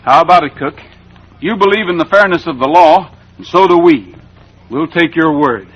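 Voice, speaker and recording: An actor playing a steady, fair-minded cowboy lawman in an old western radio show, speaking firmly and straightforwardly. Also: fairly high pitch, normal speed. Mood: reasonable, friendly. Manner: firm, straightforward. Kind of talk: 1940s-50s western radio acting. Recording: dull, hissy old radio recording.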